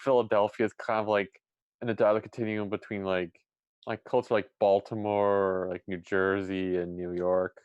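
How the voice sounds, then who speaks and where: A man's voice: lowish voice, level tone, moderate pace, clean tone, no room sound, dull audio. A man with a Central Eastern Pennsylvania accent, rambling in a casual panel chat with lots of "like.". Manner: rambling, heavy use of "like".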